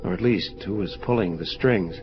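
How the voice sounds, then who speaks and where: A man playing a solemn, troubled angel of vengeance in an audio drama, speaking in formal, measured phrases. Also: sits low, lightly acted. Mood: accusing. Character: grave, troubled male angel of vengeance confronting his creator. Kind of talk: dramatic audio play dialogue. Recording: dull lo-fi audio under music. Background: prominent atmospheric music.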